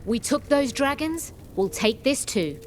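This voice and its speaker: A woman's voice: high voice, sing-song, unhurried, slight background noise. An actress playing a defiant, confident woman warden in a video game. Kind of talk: dramatic video game acting.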